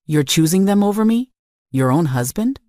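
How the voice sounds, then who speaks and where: A woman's voice: fairly low pitch, expressive, moderate pace, mellow, no room sound, studio quality, voice pushed up, close to an everyday voice. A woman voicing a menacing, controlling husband in a dramatic story, accusing and commanding. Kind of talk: dramatic story narration dialogue.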